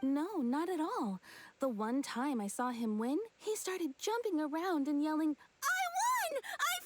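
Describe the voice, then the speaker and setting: A woman's voice: sits high, a little slow, slight background noise. An actress playing a chatty, amused young townswoman in an anime game, telling stories expressively over music. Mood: animated storytelling. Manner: expressive storytelling.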